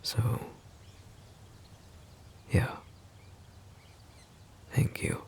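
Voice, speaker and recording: A man playing a sweet, bashful wolf-shifter boyfriend in an intimate ASMR roleplay, speaking softly and haltingly in a breathy murmur. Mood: shy, grateful. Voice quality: very deep, breathy, gravelly murmur. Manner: hesitant, halting, soft-spoken. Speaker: audio roleplay performer.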